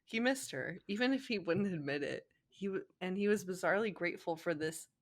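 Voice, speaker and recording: A woman's voice: on the low side, a little fast. Giggly woman reading aloud casually. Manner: giggly, easily cracked up, stumbles over lines. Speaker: co-host reading fan fiction aloud. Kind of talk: casual comedic read-aloud with commentary.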